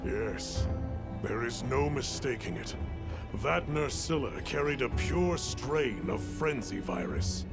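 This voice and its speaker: A man playing a composed, scholarly expedition leader in a video game, speaking in a formal, measured and authoritative manner. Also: mid-range voice, very animated, gravelly, over loud music, heavily acted. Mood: formal, grave. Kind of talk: video game cutscene acting.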